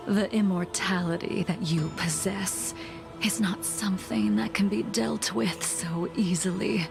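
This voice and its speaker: A woman playing a weary, resolute, centuries-old swordmistress in a video game, solemn, measured and breathy, cold and wistful. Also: mid-range voice. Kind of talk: dramatic video game voice acting.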